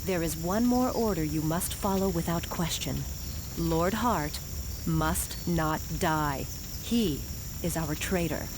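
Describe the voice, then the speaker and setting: A woman playing a grave, dutiful young queen in a video game cutscene, speaking in a solemn, measured, formal way. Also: sits low, background noise. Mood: stern, resolute. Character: grave, dutiful young queen addressing her dead father. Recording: music behind the voice.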